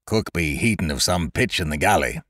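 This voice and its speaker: A man voicing a gruff old boatswain who talks in a rough rustic seafaring dialect. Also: low-pitched, expressive, normal speed, a bit bright, no echo, studio quality. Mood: wary, confiding.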